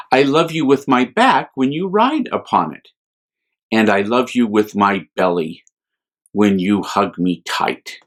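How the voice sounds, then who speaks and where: A man voicing a loving mother polar bear in a children's storybook, affectionate and reassuring. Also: fairly low pitch.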